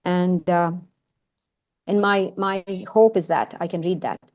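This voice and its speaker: A woman's voice: fairly low pitch, measured pace, highs cut off. A woman, a Malayalam speaker with a mixed Indian and American accent, presenting her film work in a hesitant talk full of fillers and restarts. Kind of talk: informal talk in an online session.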